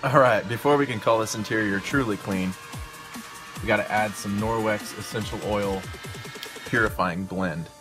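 A man's voice: fairly low pitch, normal speed. A man in his thirties giving a casual, upbeat product demonstration in his home garage, talking straight to viewers. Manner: upbeat, instructional, addresses viewers directly. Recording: background music under voice. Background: background music.

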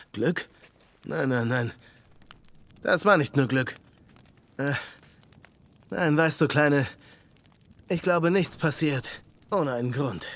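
Slow-talking, calmly persuasive man in a game. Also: a little high. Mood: earnest, persuasive. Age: middle-aged. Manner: measured, hesitant, softly persuasive. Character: calm middle-aged male survivor leader, soothing yet menacing. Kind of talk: German video game dub acting.

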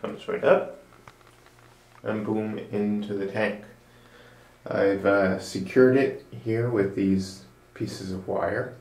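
Slow-talking man demonstrating a plumbing build. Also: lowish voice, steady tone, a little mellow, reverberant, recorded from a distance, rough recording. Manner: unhurried, explains step by step while working with his hands. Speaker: DIY video host. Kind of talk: casual how-to demonstration. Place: apartment room and tiled bathroom, indoors.

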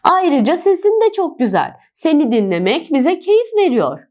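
A woman voicing kindly, admiring pink roses in a children's storybook, gently encouraging and full of wonder. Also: high voice, very animated, moderate pace, pitched above the performer's own voice. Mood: surprised, encouraging. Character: pink garden roses, astonished, kindly, admiring little friends.

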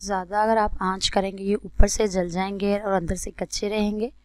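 A woman with a Pakistani accent narrates a recipe tutorial as a cooking host, in a measured, instructional way. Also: medium pitch, little pitch movement, darkish tone, no room sound, studio quality.

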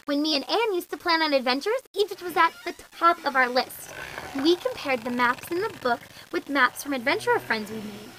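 A woman's voice: sits high, very animated, a little fast, sharp tone, a little hiss or hum, heavily acted, poor audio. A woman voicing an eager, bookish young cabin girl who talks in an earnest, explaining way. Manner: earnest, explaining, know-it-all. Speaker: puppet show character. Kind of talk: amateur puppet show voice acting.

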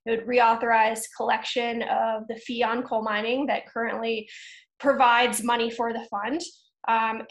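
A woman in her thirties talks through a webinar from a room at home, measured and earnest, dotting her sentences with ums. Her voice sits a little high. The video-call audio carries a noticeable room echo. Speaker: webinar presenter. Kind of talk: webinar slide presentation.

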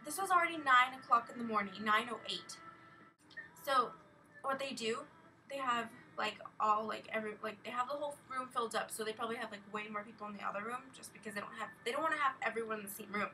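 A teenage young woman tells a story casually in a rambling, animated way, peppered with "like". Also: on the high side, fast. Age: teens, a minor. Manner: rambling, animated, heavy use of "like". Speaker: vlogger. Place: small carpeted bedroom, indoors. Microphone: webcam at desk distance. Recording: slightly distant webcam mic, faint noise.

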